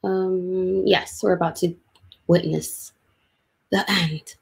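A woman's voice: lowish voice, steady tone, takes it slowly, gravelly. A woman illustrator giving informal, self-deprecating commentary on a drawing demo, explaining as she goes on a video call.

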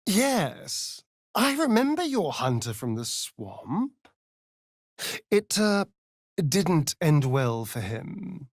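An actor voicing a vain, sardonic vampire elf rogue in a video game, with an arch, drawling, theatrical delivery. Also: male voice, a little high, very animated, extremely slow, creaky, dry recording, clean studio sound, heavily acted. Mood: teasing, sly. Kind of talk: video game character voice lines.

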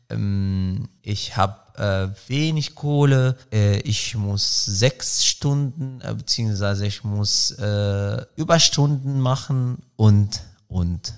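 A man's voice: fairly low pitch, clean tone. A man, hosting a solo podcast in an earnest, reflective way, often searching for words. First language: Arabic. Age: 30s. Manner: earnest, searching for words, many fillers and restarts. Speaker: podcast host.

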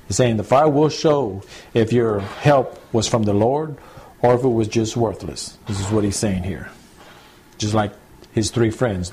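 A man, a pastor teaching scripture to a small home church group in a relaxed, repetitive, conversational way. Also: mid-range voice, husky. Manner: conversational, repeats points, addresses group as y'all. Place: small home gathering, recorded to CD.

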